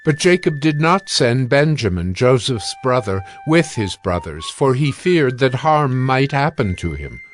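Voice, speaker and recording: Man narrating scripture calmly. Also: mid-range voice, measured pace, hardly any pauses.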